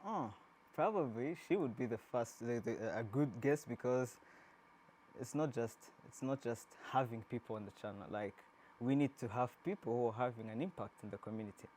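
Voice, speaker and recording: A man's voice: medium pitch, normal speed, a little mellow, a little hiss or hum. A man from Rwanda hosting an interview, asking loose, rambling questions with frequent restarts. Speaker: interview host. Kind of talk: casual interview.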